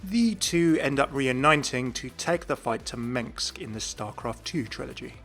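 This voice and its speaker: A man's voice: mid-range voice, lively intonation, moderate pace, fairly bright tone. A man giving scripted, explanatory commentary as the host of a gaming video. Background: background music.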